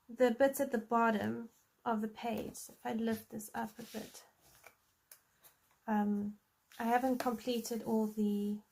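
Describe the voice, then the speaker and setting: A woman's voice: fairly high pitch, gravelly, warm tone. A woman in her thirties from South Africa, with a South African accent, chatting casually and thinking aloud while she colors on a live stream. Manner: chatty, friendly, thinks aloud with many ums. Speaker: coloring channel host.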